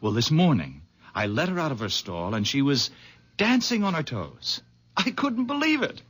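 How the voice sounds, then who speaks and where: A man's voice: on the high side, moderate pace, breathy edge, full character voice. An actor playing an eager, friendly young man in a radio drama. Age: young adult. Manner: eager and animated. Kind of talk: 1970s radio drama acting. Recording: noisy old radio recording.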